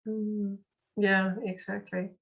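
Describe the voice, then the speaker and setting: A woman's voice: mid-range voice, level tone, slow pace, vocal fry, muffled recording. A woman with a Dutch accent, a native Dutch speaker, hosting a casual conversation in an eager, chatty way with many fillers. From Netherlands. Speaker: host. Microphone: video call.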